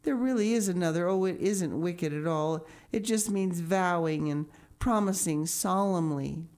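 A woman's voice: lowish voice, unhurried, darkish tone, voice pushed up. A woman reading aloud and voicing an eager, dreamy young girl who gushes and pleads breathlessly. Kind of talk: audiobook character voice.

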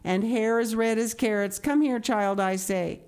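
A blunt older village matron from a storybook, acted out by a woman. She pitches her voice up and scolds emphatically, full of exclamations and indignant judgment, like a busybody sure of her opinions. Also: measured pace, darkish tone, smooth, natural voice. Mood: blunt, critical.

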